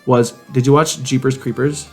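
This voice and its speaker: A man co-hosting a casual podcast, talking in a campy, sassy, expressive way and swearing freely. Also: medium pitch, normal speed, faint music behind. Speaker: podcast co-host. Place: home studio garage.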